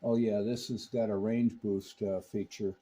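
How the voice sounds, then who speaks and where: A man's voice: lowish voice, flat delivery, a little slow, mellow, slight background noise. A man talking casually about technical repair work as a hands-on host, in a folksy, chatty manner. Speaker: radio repairer and video host. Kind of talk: casual technical talk and product commentary.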